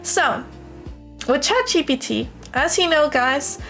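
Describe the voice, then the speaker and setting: A young woman from the United States chatting casually on a livestream in an expressive, affectionate, gushing way, as a streamer talking to viewers. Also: sits high, slow pace, soft background music. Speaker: live streamer.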